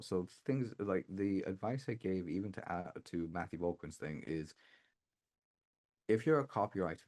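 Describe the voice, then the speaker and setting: A middle-aged man from Tanzania talking as a podcast guest in casual interview chat, animated and acting out the messages he quotes. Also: sits low.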